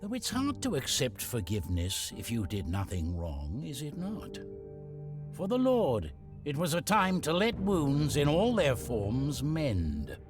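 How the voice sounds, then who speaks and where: Fantasy game storyteller: grave and literary, very wide expressive pitch movement over music. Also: male voice, measured pace. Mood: solemn, reflective. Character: solemn storybook narrator of a fantasy saga. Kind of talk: scripted game narration.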